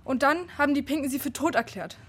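A woman's voice: sits high, fairly even intonation, quick pace, lightly acted. An actress playing an earnest, urgent female friend in a children's radio play. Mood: urgent, explaining.

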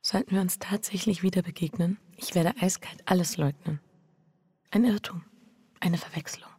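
Anxious, breathy married woman. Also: lowish voice, normal speed, darkish tone, no room sound, clean studio sound. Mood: defensive. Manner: introspective, breathy, often near whisper. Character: anxious, guilt-ridden married woman having an affair. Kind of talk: German radio drama inner monologue.

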